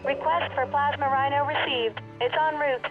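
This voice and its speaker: An actress playing a brisk, clever scientist giving mission updates in a video game, clipped and businesslike. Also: female voice, sits high, steady tone, narrow, tinny audio, over music, lightly acted. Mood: focused, urgent.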